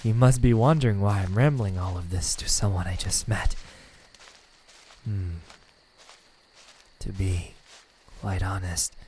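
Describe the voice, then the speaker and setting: A man playing a lonely immortal demon in an ASMR roleplay, haughty and halting, secretly tender, with a husky voice. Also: deep voice, fairly even intonation, a little mellow, a little hiss or hum. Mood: wistful. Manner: slow, halting, condescending speech with stammers and sighs. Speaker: voice actor.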